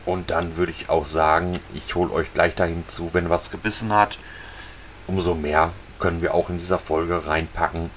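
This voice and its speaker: A man casually talking viewers through a fishing video game, relaxed and chatty. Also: deep voice, moderate pace, a little hiss or hum. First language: German. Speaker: gaming channel host. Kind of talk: casual let's-play commentary.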